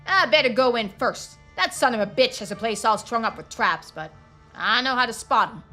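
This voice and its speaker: An angry backwoods dad acted out by a woman in a story game, pitched up from the reader's usual voice and forceful. He is belligerent and drawling, over faint music. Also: animated, normal speed. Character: furious, foul-mouthed rural father demanding his son back.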